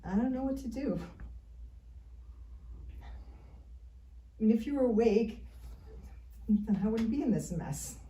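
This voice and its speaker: A woman acting a shy, lonely young woman in a monologue, confessing in a halting, earnest, nervous way, recorded from a distance in a roomy space. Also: a little high, expressive, mellow, highs cut off. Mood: flustered confessing. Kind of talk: rehearsed film monologue in an acting class.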